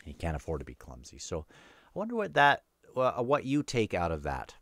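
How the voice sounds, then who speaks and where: Man, low pitch, dry sound, casual book discussion host, halting delivery, thinking out loud. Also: huge pitch swings, dry recording. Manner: halting, rambling, thinking aloud. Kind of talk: casual read-along commentary with quoted passages.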